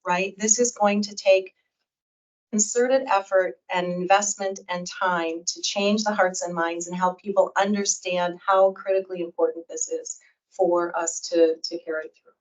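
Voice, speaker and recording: A woman's voice: lowish voice, steady tone, measured pace, distant mic, poor audio. A middle-aged woman advocating for child care on a panel, speaking emphatically and earnestly, often with rhetorical questions. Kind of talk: panel discussion. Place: event room with audience.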